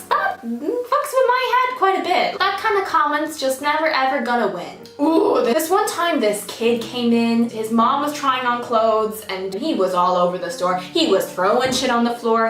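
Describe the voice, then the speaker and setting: A young woman from Ireland, with an accent that is partly American-sounding, chats sarcastically through retail stories and swears freely. Her voice sits a little high. The sound is roomy and set back from the mic, with quiet music playing underneath. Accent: Irish, partly American-sounding. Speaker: vlogger. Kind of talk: casual storytime monologue. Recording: roomy, slightly distant, music bed.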